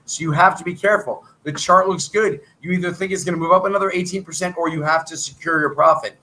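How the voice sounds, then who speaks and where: High, bright-voiced man coaching traders. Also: steady tone, low-quality recording. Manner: brisk salesy patter, thinking aloud. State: losing his voice. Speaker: trading coach. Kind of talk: live stream chat.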